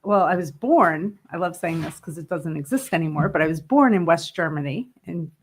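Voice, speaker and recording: A woman in her fifties with a somewhat low voice, talking easily as an interview guest. She laughs often and trails off with small fillers as she tells her story. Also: fairly quick, slightly rough. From northern New Jersey, USA. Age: 50s. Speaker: interview guest, brewery co-owner. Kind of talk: casual interview.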